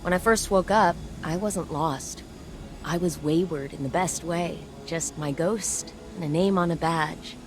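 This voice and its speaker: Low-voiced, wry woman adventurer. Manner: wry, reflective, conversational. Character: wry, weary, stubborn female frontier adventurer. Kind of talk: video game cutscene monologue. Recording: music behind the voice.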